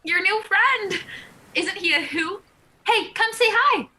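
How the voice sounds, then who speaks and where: A woman's voice: very high-pitched, lively intonation, slow pace, pushed and bright, heavily acted. A woman playing Depression personified, a protective, coaxing caretaker, in a live play reading. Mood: cheery, reassuring.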